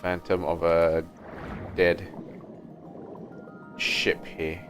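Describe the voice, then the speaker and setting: A man's voice: sits low, monotone, very slow. A man giving casual video game commentary as he streams, thinking aloud in a mumbly way into a streaming microphone. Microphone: streaming microphone that picks up movement. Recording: game music behind the voice.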